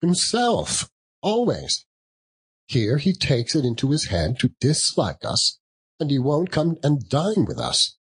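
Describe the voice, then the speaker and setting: A man voicing a jolly young gentleman in an audiobook, hearty and good-humoured, chuckling between phrases. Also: a little high, wildly swooping pitch, slow pace, husky, voice pushed up, full character voice. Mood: good-humoured, amused. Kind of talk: audiobook character voice.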